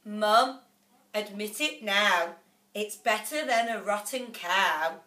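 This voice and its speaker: A woman voicing an excitable, whiny young boy, talking high and breathless. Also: slow. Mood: pleading. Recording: echoey room, off-mic.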